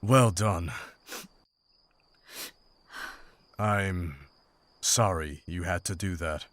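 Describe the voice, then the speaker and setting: A man playing a stern, world-weary monster hunter who speaks in measured, formal phrases in a visual novel. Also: low-pitched, fairly bright tone, no echo. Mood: weary, solemn.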